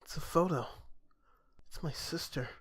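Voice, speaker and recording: Man acting a cool, high-pitched young guy. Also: normal speed, a bit bright, husky. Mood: wistful. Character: cool, aloof young man, wistful older brother. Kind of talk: fan dub of a visual novel game.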